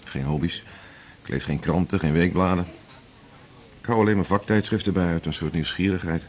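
A man's voice: low-pitched, fairly quick, a little mellow, lightly acted. An actor playing a workaholic middle-aged male internist in a 1980s radio drama, talking in a wry, self-mocking, confiding way. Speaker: hospital internist and chief.

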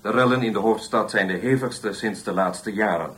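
An actor playing a formal, neutral radio newsreader delivering a scripted bulletin in a radio drama. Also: male voice, on the low side, normal speed, fairly bright tone, lightly acted. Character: formal, impersonal radio newsreader reporting riots. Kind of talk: scripted news reading. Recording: noisy, clicking radio broadcast.

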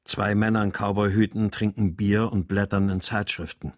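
An actor playing a troubled husband searching for his missing family, narrating and speaking in a measured, brooding way in a radio crime drama. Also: lowish voice, level tone, no echo, studio quality, natural voice. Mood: weary, reflective. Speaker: lead actor and first-person narrator.

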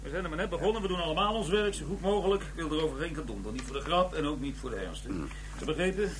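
An actor playing an impatient, commanding police squad chief in a Dutch radio drama. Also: male voice, on the high side, lively intonation, rapid-fire, background noise, close to an everyday voice. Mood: stern. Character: brisk male police squad chief keeping his men in order.

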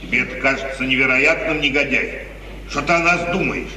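An actor playing a menacing, sly outlaw in an insinuating 1950s radio theatre manner. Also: male voice, medium pitch, fairly quick, sharp tone. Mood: scornful. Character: menacing, sly outlaw bandit, sharp-featured killer. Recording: old echoey radio play, distant, noisy.

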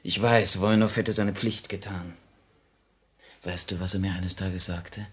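Remorseful comrade, low-leaning husky voice, earnest, old radio play acting. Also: male voice, fairly even intonation, measured pace, a bit bright, full character voice. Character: earnest, guilt-stricken male revolutionary comrade.

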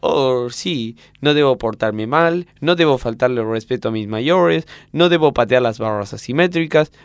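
A man's voice: medium pitch, normal speed, smooth, dry recording. An Argentine man bantering informally in slang while recording comedy lines, prone to giggling. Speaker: comedy group performer.

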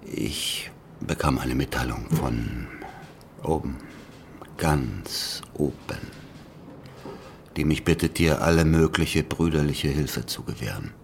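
An actor playing a wry, grimly resigned Soviet regional official in a German radio drama, with ponderous, theatrical phrasing. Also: male voice, very deep voice, slow pace, creaky, long pauses, noisy background, heavily acted. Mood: smug, evasive.

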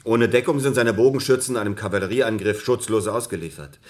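Low-voiced male military commentator. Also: a little fast, noisy background. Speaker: expert commentator. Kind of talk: analytical military commentary in a radio drama.

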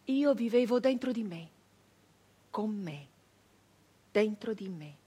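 An actress playing a grieving, traumatized 19th-century peasant woman in a theatrical radio monologue, halting and intense, with breathy speech that can sink toward a whisper. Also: medium pitch, lively intonation, mellow, heavily acted. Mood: mournful, subdued. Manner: halting, intense, repeating phrases obsessively.

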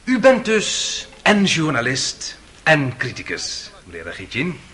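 A man's voice: a little high, huge pitch swings, a little slow, pushed and bright, full character voice. An actor playing a suave, sarcastic defence lawyer in a radio courtroom drama, theatrical and insinuating in his mock courtesy. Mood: politely probing. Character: suave, sarcastic male defence lawyer cross-examining witnesses.

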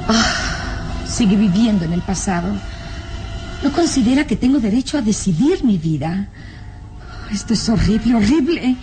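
An actress playing a defiant young aristocratic daughter in a radio melodrama, plaintive and pleading. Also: female voice, medium pitch, lively intonation, normal speed, over music, natural voice. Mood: anguished. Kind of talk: old-time Spanish-language radio drama acting.

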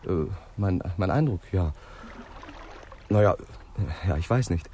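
Casting: eager but unsure detective underling, fairly low voice, halting, noisy old radio play. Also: male voice, expressive, warm tone. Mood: hesitant. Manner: halting, tentative, puzzling things out. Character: earnest, hesitant, deferential junior police inspector. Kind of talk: 1960s German radio crime drama acting.

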